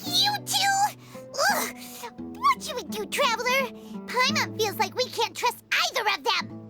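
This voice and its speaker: A woman voicing a small, excitable, childlike fairy companion in a video game, squeaky and full of exclamations. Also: a little slow, full character voice. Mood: alarmed. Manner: squeaky, exclamatory, refers to herself in third person. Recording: game music behind the voice.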